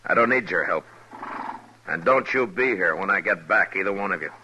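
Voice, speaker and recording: An actor playing a stern, steady Old West marshal in a radio western, speaking in a measured, authoritative, terse way. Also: male voice, sits low. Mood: firm. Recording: vintage radio recording with faint noise.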